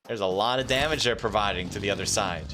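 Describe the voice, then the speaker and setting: A man casting a live mobile battle royale match as play-by-play commentator, talking casually with fillers and jumping up in pitch when fights break out. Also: quick pace, background noise. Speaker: esports caster. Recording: game gunfire clearly underneath. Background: game gunfire and clicks.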